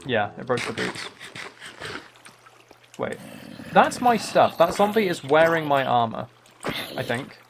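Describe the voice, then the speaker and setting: A man's voice: on the high side, sing-song, slow pace, creaky, pauses often, background noise. A man chatting casually as he live-streams a game, thinking aloud in an easygoing running commentary. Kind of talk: casual chat while playing a game live.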